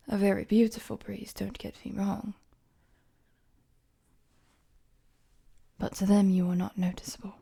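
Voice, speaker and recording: A woman acts out a young queen in an intimate audio roleplay. Her voice is mellow and very breathy, often dropping close to a whisper. She speaks with courtly politeness, gentle and a little playful, as if confiding in a trusted servant. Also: mid-range voice. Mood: earnest, conspiratorial.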